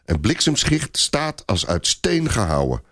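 A man's voice: medium pitch, wildly swooping pitch, a little slow, a bit bright. A man narrating a scripted adventure story in a theatrical, suspenseful manner.

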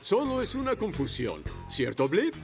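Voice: mid-range voice, wildly swooping pitch, moderate pace, a little mellow, soft background music. An actor voicing a reassuring grown-up male police motorcycle with an official manner in a children's cartoon.

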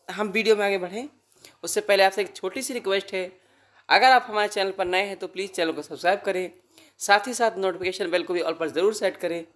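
A man's voice: sits high, fairly bright tone. An Indian man with a sore throat, giving a casual app tutorial as the host.

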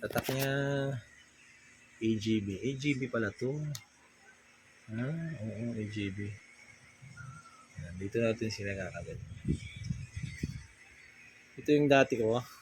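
Slow, low-voiced Filipino man, noisy recording. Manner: informal, halting, piecemeal remarks. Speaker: vlogger demonstrating a product install. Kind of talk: casual hands-on unboxing and install vlog. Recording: clearly noisy ambient background.

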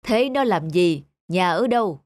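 A woman's voice: lowish voice, lively intonation, a little slow, darkish tone. A woman voicing a stern, domineering middle-aged father in a cartoon. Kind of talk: cartoon dub acting.

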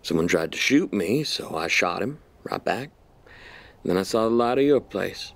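An actor playing a smug, menacing army general in an audio drama, with an insinuating, mock-polite manner. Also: male voice, fairly low pitch, animated, moderate pace, vocal fry. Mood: guarded.

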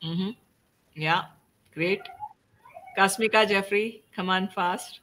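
A woman's voice: mid-range voice, takes it slowly, a bit bright. A middle-aged woman hosts an online panel in an informal style. She takes her time as she puts questions to the group and prompts people to answer. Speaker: host of a panel discussion. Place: indoors at home, on a video call.

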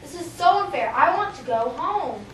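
A woman's voice: sits high, expressive, normal speed. A young teenage girl playing an impatient, whiny power plant worker in an amateur school drama. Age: young teen. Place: small office. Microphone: far camera. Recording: echoey room, distant mic, clear noise.

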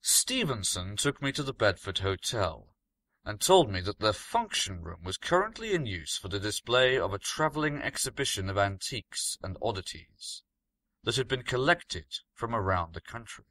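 A man reading a scripted gothic story as narrator, in a measured, dramatic manner. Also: lowish voice, big pitch swings, a bit bright, no room sound.

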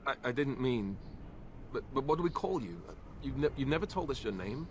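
A man's voice: medium pitch, expressive, brisk, slight background noise, close to an everyday voice. A young man acting an earnest, anxious warrior in a fantasy game, hesitant and naive in his delivery. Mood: bewildered, hesitant. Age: young adult.

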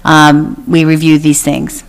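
A woman with a smooth, clear voice set slightly low reports to a school board. She speaks at a steady pace and fills her pauses with um. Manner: steady, with frequent um fillers. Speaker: school board policy committee member. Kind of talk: formal committee report at a meeting. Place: school board meeting room.

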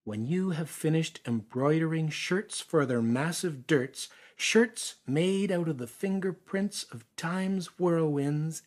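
A Canadian man from Ontario reading poems aloud, expressively and theatrically, with deliberate phrasing and pauses. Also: a little high. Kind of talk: expressive dramatic poetry reading.

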